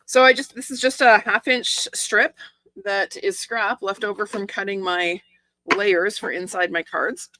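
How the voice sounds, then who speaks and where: A middle-aged woman with a croaky, hoarse voice, chatting casually as she demonstrates a stamping and card-making project step by step. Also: medium pitch, fairly even intonation, measured pace, sharp tone, no echo, rough recording. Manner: chatty, explains each step with asides and small laughs. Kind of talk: casual live craft tutorial.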